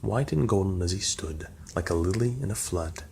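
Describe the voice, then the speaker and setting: A man's voice: sits low, animated, normal speed, a little mellow. A man narrating a poem aloud as an ASMR reading. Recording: clear background noise.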